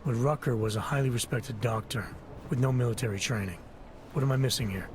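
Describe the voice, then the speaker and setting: A man playing a gruff male special agent in a video game, clipped and skeptical. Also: fairly quick, noisy background, natural voice. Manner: clipped, low-key and skeptical.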